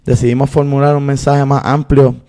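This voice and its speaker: A Puerto Rican man in his thirties, a guest recounting his life at length in an earnest, unhurried way with many fillers. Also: medium pitch, little pitch movement, warm tone. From Puerto Rico. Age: 30s. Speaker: guest, activist and filmmaker. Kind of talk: interview storytelling.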